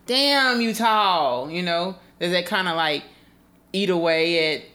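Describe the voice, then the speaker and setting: A woman's voice: fairly low pitch, animated, slow, sharp tone. A woman co-hosting a casual podcast conversation, asking reflective questions and often restarting her sentences. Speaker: podcast co-host.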